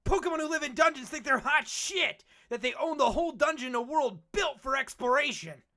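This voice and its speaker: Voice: high-pitched, animated, brisk, pushed and bright, heavily acted. A voice actor playing a brash, cynical veteran Pokemon explorer in a comic dub, talking in a cocky, slangy, needling way. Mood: scornful. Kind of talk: animated comic dub acting.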